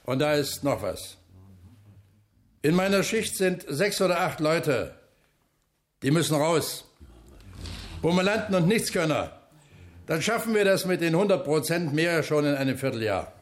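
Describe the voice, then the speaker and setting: A man's voice: a little high, lively intonation, unhurried, pauses often. Blunt, stubborn older foreman. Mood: blunt, accusing. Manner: blunt, dry, unyielding. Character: stubborn, plain-spoken, stoic older male factory foreman. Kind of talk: German radio drama acting.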